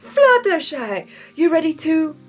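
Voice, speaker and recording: A woman's voice: very high-pitched, very animated, a little slow, voice pushed up, full character voice. A woman voicing a nervous, lovestruck, self-doubting male creature in a theatrical story reading, talking to himself in exclamations. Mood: nervous, smitten. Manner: exclamatory, self-coaching pep talk.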